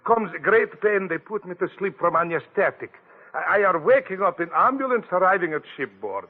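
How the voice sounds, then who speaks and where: An actor playing a heavy foreign man in a plaster cast, speaking in broken, halting English in a 1940s radio play. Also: sits high, sing-song. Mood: pleading. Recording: tinny old radio broadcast.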